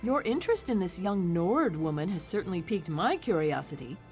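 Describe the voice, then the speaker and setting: An actress playing a nosy, insinuating town gossip in a fantasy game, coy and conspiratorial. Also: female voice, fairly low pitch, very animated, a little fast, darkish tone, faint music behind. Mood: curious, conspiratorial. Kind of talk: game dialogue acting.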